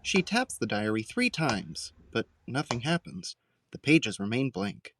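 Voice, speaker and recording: A male narrator reads scripted audio drama scenes at a medium pitch. The sound is dry, with no room around the voice. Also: huge pitch swings, unhurried, a bit bright, no room sound.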